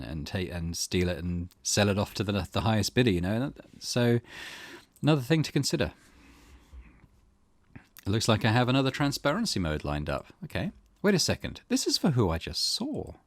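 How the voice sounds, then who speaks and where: A man's voice: fairly low pitch, dry recording. A man hosting a let's play, reading game text aloud and commenting on it in a thoughtful, musing way.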